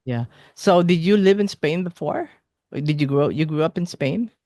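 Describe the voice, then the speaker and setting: A man hosting a casual music interview, forming his questions haltingly and repeating phrases. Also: on the high side, expressive, darkish tone, no room sound, clean studio sound. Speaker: interviewer, music show host.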